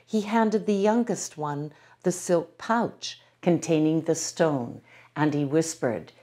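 A woman storyteller narrates from a written tale. Her voice sits low for a woman, with a warm, dark tone. She reads in a gentle, measured way.

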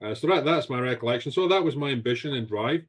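A Scottish man in his sixties tells his story with lively enthusiasm, often doubling up words as he goes. His voice sits at an ordinary pitch and comes through a home video call. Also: fast talker, slightly rough. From Scotland. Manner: animated, repeats words, gestures with hands. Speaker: guest chef. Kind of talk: storytelling interview answers. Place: home room with shelves.

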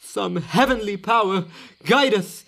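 Man playing a high-voiced, shaken old courtier. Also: measured pace, vocal fry, fairly bright tone, full character voice. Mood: fearful wonder. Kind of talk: Shakespearean radio play acting in verse.

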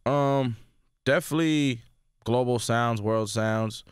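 A young man with a New York accent, originally from Sudan, talking casually as an interview guest in a radio studio. He speaks in a relaxed way and repeats words as he thinks. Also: mid-range voice, slow pace, clean tone, dry recording. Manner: laid-back, repeats words while thinking. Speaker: rapper guest. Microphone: studio mic.

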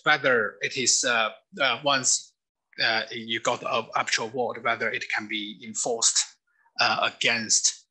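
A man's voice: mid-range voice, sharp tone, slightly rough, poor audio. A middle-aged man from mainland China with a Chinese accent, giving a webinar lecture from his office over a webcam, with frequent fillers and restarts. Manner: hesitant, many fillers and restarts.

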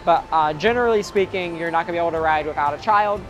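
A young man in his twenties, hoarse from screaming on a ride, giving casual theme park tips to camera outdoors with lots of ums. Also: fairly high pitch, quick pace, muffled recording, soft background music. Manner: chatty, frequent ums and uhs. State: hoarse, voice cracking. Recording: outdoor crowd and ride noise behind.

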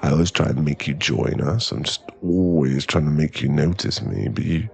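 A man playing a drunk, clingy, lovesick boyfriend in an intimate ASMR roleplay, with halting, pleading speech and a husky, gravelly voice. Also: a bass voice, a little mellow, exaggerated voice. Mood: whiny, pleading. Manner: tipsy, halting, drawn-out, pleading delivery.

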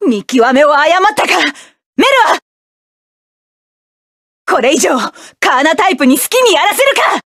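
A fierce, high-voiced mech pilot. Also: female voice, lively intonation, measured pace, long pauses, no room sound, studio quality, full character voice. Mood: defiant. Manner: commanding, defiant battle shouts. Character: fierce proud female mech pilot, young adult. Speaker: mecha pilot character. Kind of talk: video game battle voice lines.

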